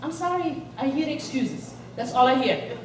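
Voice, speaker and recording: Woman motivational speaker from Egypt, high and energetic, miked from a distance in a big echoing hall. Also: normal speed, a little hiss or hum. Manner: energetic, rallies the crowd with questions. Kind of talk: inspirational speech to a live audience. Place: large event hall. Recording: echoey hall, voice from far off.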